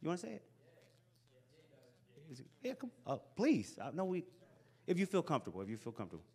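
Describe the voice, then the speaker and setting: A man's voice: fairly high pitch, fast, breathy edge. A man in his forties giving a casual, encouraging talk to young people in a school hall, speaking into a handheld microphone. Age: 40s. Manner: lively, casual and encouraging, chatty asides. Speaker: motivational speaker. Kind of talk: live talk to a youth audience.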